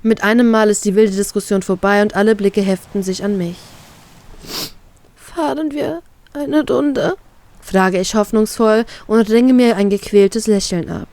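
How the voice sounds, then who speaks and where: A woman narrating her own fan fiction aloud in a steady, plain reading voice. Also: mid-range voice, normal speed, few pauses, clear, resonant tone.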